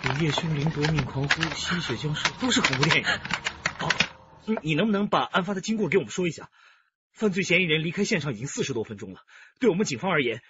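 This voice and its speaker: Man playing a quick, keen young-sounding detective. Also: on the high side, animated, lightly acted. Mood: pressing. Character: keen, analytical male police detective. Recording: dull lo-fi audio, loud noise behind.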